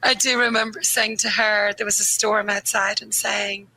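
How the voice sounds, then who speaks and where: A woman grief therapist speaking earnestly and candidly in a podcast interview, a little croaky, slowing down on the points that matter to her. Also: mid-range voice, little pitch movement, pushed and bright, rough recording. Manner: earnest, candid, laughs easily, slows on key phrases. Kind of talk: reflective conversational interview.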